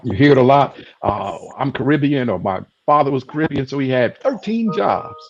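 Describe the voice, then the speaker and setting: A man moderating a panel discussion on a video call, asking thoughtful, halting questions with many fillers. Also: mid-range voice, very animated, slight background noise. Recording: dull lo-fi video call audio.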